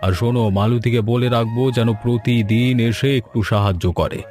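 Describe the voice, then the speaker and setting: A man's voice: mid-range voice, fairly even intonation, brisk, a little mellow, faint music behind, natural voice. A man playing a considerate, organised middle-aged village father in a cartoon. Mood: glad, planning. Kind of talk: cartoon voice acting.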